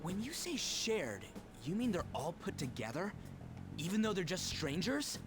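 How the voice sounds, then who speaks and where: Brash, animated teenage boy played by an actor. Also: medium pitch, normal speed, lightly acted. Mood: confused, impatient. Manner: blunt, excitable, slangy. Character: brash, hot-headed teenage boy, slangy and impatient. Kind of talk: anime video game voice acting. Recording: game music behind the voice.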